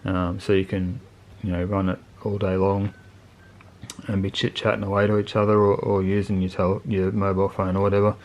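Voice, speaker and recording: A man with a lowish voice talks through a piece of gear off the cuff. His delivery is very monotone and laid-back, with plain, colloquial phrasing. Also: a little fast. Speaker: motorcycle video channel host reviewing gear. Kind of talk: casual unscripted product review.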